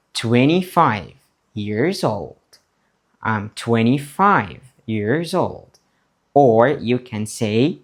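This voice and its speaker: A male teacher drills beginners word by word. He speaks very slowly, leaving long pauses, and his pitch rises and falls with lively, encouraging swings. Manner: slow, deliberate, repeats words for learners to copy. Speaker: English teacher. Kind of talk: slow beginner English lesson, drilling words.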